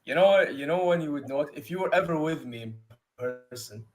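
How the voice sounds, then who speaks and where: A man's voice: fairly high pitch, brisk, soft background music. A man hosting a watchalong, telling stories in casual chat and laughing often. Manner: laughing, animated storytelling. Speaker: watchalong host.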